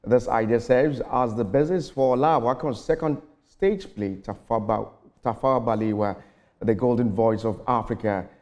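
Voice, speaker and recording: A man's voice: mid-range voice, normal speed, darkish tone, lo-fi sound. A man presenting a morning TV news program, reading an intro and then questioning a guest, stumbling now and then. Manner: reads a scripted intro, then asks questions with some stumbles. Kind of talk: news interview.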